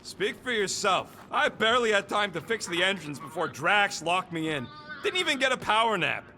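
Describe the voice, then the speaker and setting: A man's voice: high-pitched, very animated, normal speed, pushed and bright, slight background noise, full character voice. A man voicing a cranky, wisecracking small furry creature mechanic in a video game, with sarcastic street-tough speech. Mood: grumbling.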